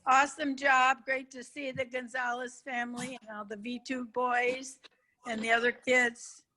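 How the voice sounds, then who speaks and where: A woman's voice: high-pitched, little pitch movement, moderate pace, sharp tone, low-quality recording. A woman from the audience giving casual greetings and congratulations on a group call. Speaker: audience member congratulating children.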